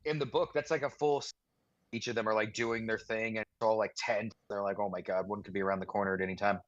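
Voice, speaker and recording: A man's voice: on the low side, steady tone, fast, no room sound. Casual man on a dull, dry mic. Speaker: podcast co-host. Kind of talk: casual chat. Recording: dull, slightly lo-fi microphone.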